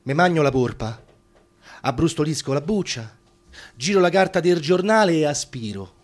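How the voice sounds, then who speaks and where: A man's voice: a little high, expressive, normal speed, close to an everyday voice. A man acting a world-weary wartime prisoner with a Roman accent, reflective and bitterly wry, in a radio drama monologue. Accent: Roman Italian. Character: world-weary male prisoner of war scraping by on potatoes. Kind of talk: theatrical radio drama monologue with sung snatches.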